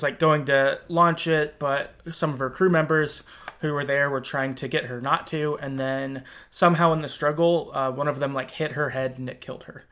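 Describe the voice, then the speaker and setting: A man hosting a podcast, casually talking through a video game in an informal, halting way, thinking aloud as he goes. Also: fairly high pitch, a bit bright. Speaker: podcast host. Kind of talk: casual conversational game discussion.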